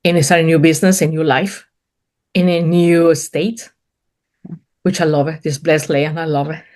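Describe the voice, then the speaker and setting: A woman's voice: low voice, fairly even intonation, normal speed, darkish tone. A middle-aged woman from Colombia, speaking English with a thick Colombian accent as a non-native speaker. She is a business owner being interviewed, and she talks earnestly in broken English, often repeating words. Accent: Colombian Spanish. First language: Spanish. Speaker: guest, painting business owner. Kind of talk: casual interview conversation.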